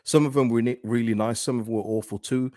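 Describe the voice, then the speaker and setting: A man with a deep, soothing voice hosting a football fan channel, chatting in a casual, rambling way as he talks with viewers. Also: fairly even intonation, quick pace, darkish tone, no room sound, clean studio sound. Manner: conversational, rambling. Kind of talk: casual livestream chat, reading and answering viewer comments.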